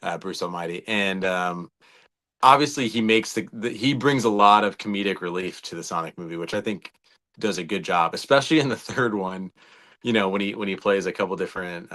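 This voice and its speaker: Low-voiced man in casual discussion. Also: a little fast, sharp tone. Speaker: co-host of a video game fan show. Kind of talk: casual conversational film discussion.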